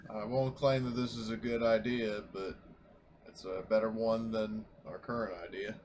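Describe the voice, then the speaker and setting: A man's voice: fairly low pitch, flat delivery, moderate pace, darkish tone, a little hiss or hum. A man playing a tabletop roleplaying game, talking casually with the group as they make plans.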